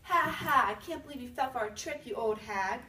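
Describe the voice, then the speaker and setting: A woman voicing sly young children from a fairy tale, with a raised, childlike pitch. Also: expressive, brisk, sharp tone. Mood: taunting. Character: two sly young children, mock-pleading and taunting. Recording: roomy, distant mic with faint noise.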